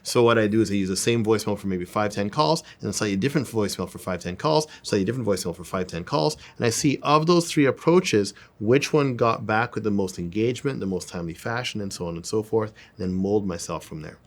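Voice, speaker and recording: A man's voice: fairly low pitch, quick pace, hardly any pauses. A man giving an informal sales coaching lesson, repeating words and phrases for emphasis. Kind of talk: informal instructional talk with demonstrations.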